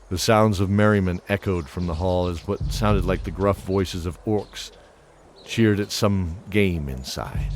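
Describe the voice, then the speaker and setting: A man narrating a scripted fantasy audio drama with dramatic, measured storytelling. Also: sits low, darkish tone, a little hiss or hum.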